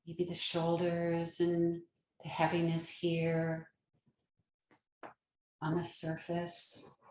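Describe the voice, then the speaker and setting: Slow-paced woman guiding meditation. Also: on the low side, completely flat delivery, reverberant. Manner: gentle, unhurried, soothing instruction with long pauses. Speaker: yoga nidra meditation teacher. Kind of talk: guided meditation.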